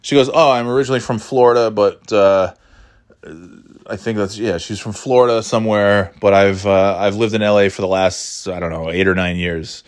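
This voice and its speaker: A man's voice: fairly low pitch, steady tone, moderate pace. A middle-aged man from New York hosting a solo podcast, talking casually in a rambling, wry way with many restarts. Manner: rambling, self-interrupting, wry. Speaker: podcast host.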